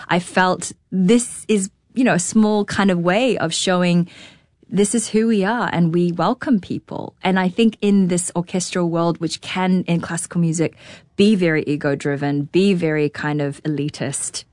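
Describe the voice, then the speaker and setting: A woman with a New Zealand accent speaks in a slightly low voice, earnest and searching as she thinks aloud in questions. The recording is dry and close. She sounds like a guest in a relaxed interview. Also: normal speed, no room sound. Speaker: guest, orchestra conductor. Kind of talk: reflective interview conversation.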